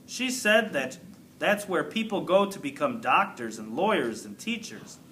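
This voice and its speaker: A man's voice: on the high side, expressive, unhurried, sharp tone, a little hiss or hum. A man voicing a good-natured, somewhat grand male night janitor in a children's storybook. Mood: musing. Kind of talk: storybook character reading.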